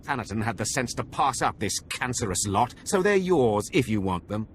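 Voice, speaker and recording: A man's voice: medium pitch, very animated, moderate pace, slight background noise, lightly acted. A man acting a cruel, contemptuous overseer of slaves in a video game cutscene, with a sneering, scornful manner. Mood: sardonic. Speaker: Sith academy overseer character.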